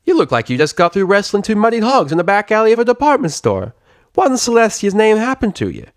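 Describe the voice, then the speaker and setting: A man's voice: high voice, sing-song, fast, no echo, clean studio sound, voice pushed up. A man voicing a friendly, motherly country pony mare with a folksy Southern US drawl in a story reading. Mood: surprised, friendly. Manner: folksy, warm-hearted, fussing over others. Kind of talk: character voice in a fan fiction reading.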